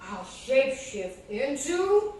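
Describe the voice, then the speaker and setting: A woman voicing a huge, boastful male ogre who laughs loudly as he speaks. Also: big pitch swings, slow, very echoey, distant mic, full character voice, rough recording. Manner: booming, laughing.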